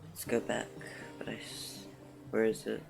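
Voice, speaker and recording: A woman giving casual, low-key live gameplay commentary as a streamer, muttering as she plays. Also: monotone, measured pace, gravelly. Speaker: game streamer. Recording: game music behind voice.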